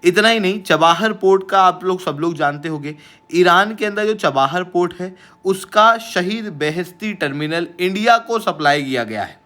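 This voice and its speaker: A man's voice: fairly high pitch, a bit bright. An Indian man presenting as an online teacher, explaining current affairs emphatically and mixing in English phrases.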